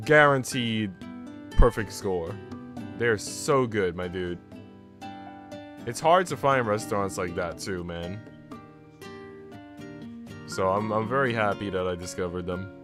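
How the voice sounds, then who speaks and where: A man live streaming, switching between reading a visual novel aloud and slangy, joking chat with casual swearing. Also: fairly low pitch. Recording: music playing behind the voice. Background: plucked guitar music.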